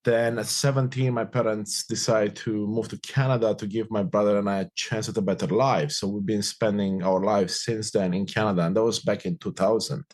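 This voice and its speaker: Upbeat Romanian-born man, low voice. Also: fairly even intonation, a little fast, few pauses, darkish tone. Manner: upbeat, reflective, repeats phrases. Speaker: guest. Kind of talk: casual interview conversation.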